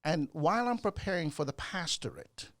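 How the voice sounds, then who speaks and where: Older man from the Bahamas, slightly high voice, measured and gracious guest psychologist, dry studio sound. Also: big pitch swings, dry recording. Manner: measured, gracious, repeats words when building a point. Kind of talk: conversational storytelling.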